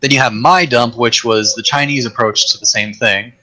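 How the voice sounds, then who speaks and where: A man chatting as a guest on a tech podcast, rambling with restarts, his voice getting raspy. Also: medium pitch, steady tone, moderate pace, sharp tone. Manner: rambling, many restarts and fillers. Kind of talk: casual tech chat.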